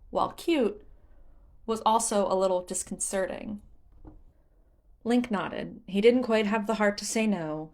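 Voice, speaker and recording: A woman's voice: mid-range voice, darkish tone. A woman reading scripted fan fiction as an audiobook narrator.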